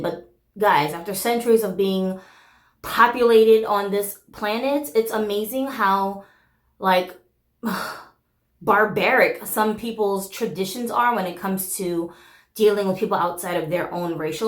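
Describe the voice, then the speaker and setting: Woman host in her thirties, korean native speaker, talks openly and casually, mid-pitched, roomy echo. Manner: candid, chatty, frequent "like" and "um" fillers. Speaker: channel host. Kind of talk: casual chat to camera.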